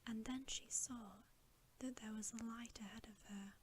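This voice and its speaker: A woman whispers a bedtime story very softly and breathily, close to the microphone. She reads slowly and gently in a soothing ASMR storytelling style. A faint noise sits behind her voice. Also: on the high side, steady tone. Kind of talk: ASMR whispered audiobook reading.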